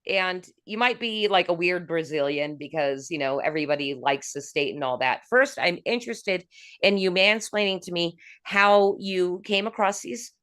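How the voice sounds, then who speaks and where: A woman's voice: on the low side, moderate pace, a bit bright. A woman hosting a casual interview, chatty and leading with long rambling questions. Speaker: host.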